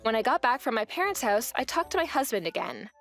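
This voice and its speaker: A woman's voice: fairly high pitch, big pitch swings, quick pace, a bit bright, soft background music. A young woman narrating her own story as a wife in a manga dub, speaking in an earnest, weary way.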